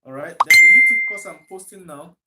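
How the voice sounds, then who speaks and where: A man's voice: fairly high pitch, animated, a little fast, heavy background noise. A Nigerian man talking on a live stream to viewers, emphatic, repeating himself and asking rhetorical questions. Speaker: livestreaming commentator.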